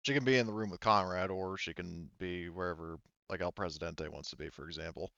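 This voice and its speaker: A man's voice: low-pitched, brisk, creaky, bright, forceful tone, no echo, dull audio. A man running an online tabletop roleplaying game as its game master.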